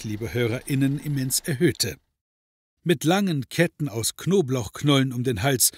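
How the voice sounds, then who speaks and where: A man narrating a scripted comedy audio drama in a measured, mock-dramatic way. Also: mid-range voice, husky, no echo, studio quality.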